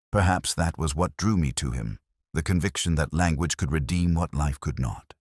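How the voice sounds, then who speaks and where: A man playing a weary, melancholy middle-aged police inspector, narrating a detective drama in a measured, literary, reflective way. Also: a bass voice, dry recording, clean studio sound, heavily acted. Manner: measured, literary, brooding delivery. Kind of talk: first-person scripted detective drama narration.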